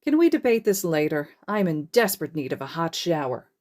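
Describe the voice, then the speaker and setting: A narrator voicing a loud, dramatic and playful man in an audiobook story. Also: medium pitch, very animated, brisk, natural voice. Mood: weary. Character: loud, dramatic, theatrical adult man, hero teacher. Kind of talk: audiobook character voice.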